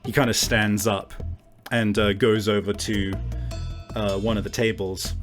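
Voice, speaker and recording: A man improvising narration as a tabletop game master in a halting, casual manner with some swearing. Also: on the low side, little pitch movement, soft background music.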